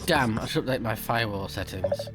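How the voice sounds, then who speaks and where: A man's voice: lowish voice, fairly quick, over music. Casual male let's play commentator. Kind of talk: casual game commentary, reacting and reading text aloud.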